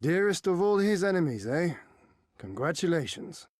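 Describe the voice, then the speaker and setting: An actor playing a spirited, noble warrior leader in a video game, eloquent and a little wry. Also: male voice, on the high side, sing-song, normal speed, a little mellow, no echo, clean studio sound. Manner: eloquent, formal. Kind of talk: theatrical video game acting.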